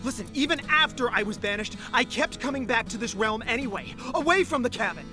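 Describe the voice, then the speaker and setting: A man acts an earnest ordinary guy in an audio play. He is emotional and very animated, hesitating and then insisting. Clear music plays behind him. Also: very high voice, a little fast. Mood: nervous, pleading. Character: earnest, lovestruck ordinary guy, stubbornly determined. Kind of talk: amateur audio drama acting.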